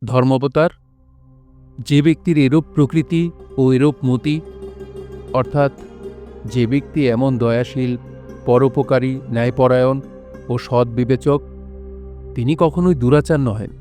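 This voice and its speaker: A man's voice: medium pitch, fairly even intonation, a little slow, pauses often, dark tone, soft background music, close to an everyday voice. A man playing a noble, loyal courtier in an audio drama, speaking formally and earnestly. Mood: humble, pleading. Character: noble, loyal, humbly pleading male courtier. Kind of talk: Bengali audio drama acting.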